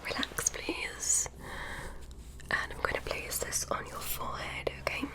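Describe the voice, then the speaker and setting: A woman voicing a curious, polite female alien doctor who examines a human, speaking in a soft, slow, breathy whisper with gentle instructions, close to the mic. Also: lightly acted. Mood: curious, soothing. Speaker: ASMR creator. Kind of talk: ASMR medical roleplay.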